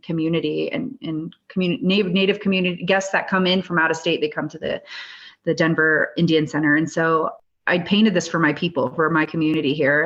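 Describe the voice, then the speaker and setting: A woman with a low voice talks earnestly about her work, pausing and restarting as she searches for words. The sound is somewhat dull and lo-fi. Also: fairly bright tone. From Standing Rock, US. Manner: earnest, thoughtful, with frequent restarts. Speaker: guest artist. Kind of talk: interview conversation. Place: Denver, US.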